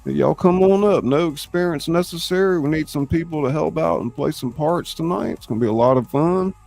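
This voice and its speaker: A man's voice: a little high, a little fast, vocal fry, a little mellow. A man hosting a casual livestream, chatting in a folksy, welcoming way. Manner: welcoming, greeting listeners by nickname, folksy. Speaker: host of a live script-reading show. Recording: music playing behind the voice. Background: background music.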